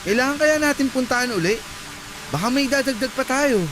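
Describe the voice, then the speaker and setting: A man's voice: extremely high pitch, a little fast, background noise. A man acting an eager, agreeable, sweet-natured young Filipino boyfriend in a radio drama, speaking earnestly. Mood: eager, mild. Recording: loud music behind the voice.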